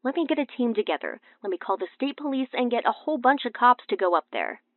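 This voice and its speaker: A woman's voice: on the high side, animated, fast talker, dry recording, pitched above the performer's own voice. A woman voicing a stern, exasperated male police detective in an audiobook, blunt and pressing, through a phone filter. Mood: urging. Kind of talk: audiobook character dialogue. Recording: tinny band-limited phone-call effect.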